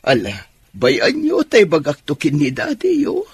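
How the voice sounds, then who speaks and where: A man playing an elderly, concerned grandmother in a radio drama, with a husky, rough voice and an emphatic, admonishing way of talking. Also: on the high side, wildly swooping pitch, a little slow. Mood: gently firm. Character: elderly concerned grandmother, chiding her married daughter.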